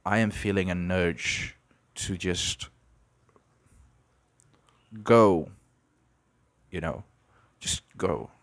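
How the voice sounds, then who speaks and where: A young man from Brazil hosting an unscripted podcast, musing and halting, with many restarts. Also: deep voice, no room sound. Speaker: podcast host. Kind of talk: unscripted reflective monologue.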